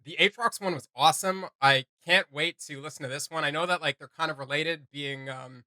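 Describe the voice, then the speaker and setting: A man hosting a reaction video, chatting casually like an excited, slangy fan. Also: on the high side, brisk, no echo. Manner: enthusiastic fan, slangy, hesitant phrasing.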